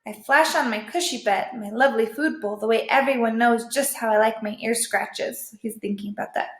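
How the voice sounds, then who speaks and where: A woman's voice: fairly high pitch, a little fast, a bit bright. A woman teacher reading a children's book aloud expressively, explaining it and checking understanding as she goes. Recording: echoey room.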